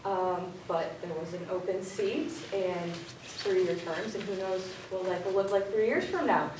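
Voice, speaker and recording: A woman's voice: fairly low pitch, a little slow, noisy background. A young woman running for local office, answering casually with lots of fillers. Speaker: candidate. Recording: echoey hall, distant mic.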